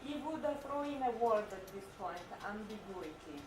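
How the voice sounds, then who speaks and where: A woman commenting in an academic discussion, thoughtful and halting. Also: medium pitch, animated. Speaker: symposium participant commenting. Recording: echoey, noisy hall, distant microphone.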